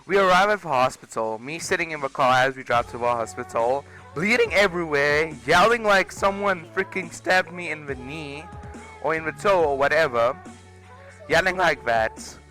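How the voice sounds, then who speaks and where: Young man, sharp forceful voice, casual storytelling, exaggerated delivery, faint background music. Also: fairly high pitch, normal speed, rough recording. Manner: animated, exaggerated comic emphasis. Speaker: video creator.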